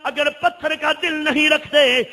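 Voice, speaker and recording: In a long, reverberant hall sound, a man delivers an impassioned lament of a sermon. His voice is extremely high and very sharp and forceful. He declaims in stretched, chant-like melodic phrases that stay close to one level of pitch. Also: fairly even intonation. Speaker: preacher narrating religious martyrdom stories.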